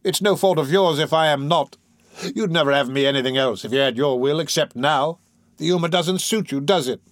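A man's voice: medium pitch, a little fast, no echo, clean studio sound, natural voice. A man voicing a bitter, defiant young woman from a criminal gang in an audiobook, with impassioned delivery.

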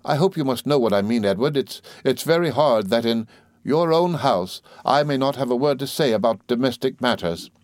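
A timid young wife and mother from a classic storybook, acted out by a male narrator. The voice is lifted well up in pitch, faltering and pleading, with a meek, tearful temper. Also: expressive, normal speed, dry recording, clean studio sound, natural voice. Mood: faltering, frightened. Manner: faltering, pleading, repeating herself.

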